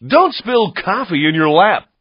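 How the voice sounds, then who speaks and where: A man playing an excitable, folksy American radio race announcer in a video game, with a husky voice. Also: high-pitched, measured pace, dry recording, exaggerated voice. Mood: upbeat, playful. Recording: dry, dull lo-fi game audio.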